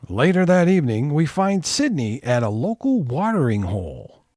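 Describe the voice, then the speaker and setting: Man as animated comedy narrator, dry sound. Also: on the high side, normal speed, no room sound, faint music behind. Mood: scene-setting. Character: storytelling narrator of a comedy audio play. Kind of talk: scripted narration.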